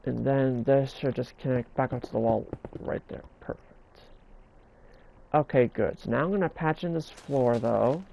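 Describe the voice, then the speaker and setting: A man's voice: medium pitch, moderate pace, vocal fry, dark tone, highs cut off, slight background noise. A man giving casual game tutorial commentary as he builds, repeating himself and stumbling over words with an occasional stutter. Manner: stumbling, repetitive delivery, stutters at times. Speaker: gaming video host.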